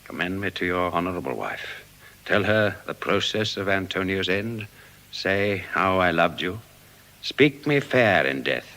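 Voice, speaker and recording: Classical stage tragedy: resigned noble merchant, deep and forceful, measured dignity. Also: male voice, expressive, exaggerated voice. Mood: tender, steadfast. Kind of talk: classical Shakespearean stage acting.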